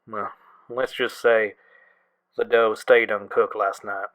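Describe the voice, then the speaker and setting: A man's voice: on the low side, flat delivery, takes it slowly. Man acting a wry, exasperated young guy. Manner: casual, wry, gently complaining. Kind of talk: audio drama acting.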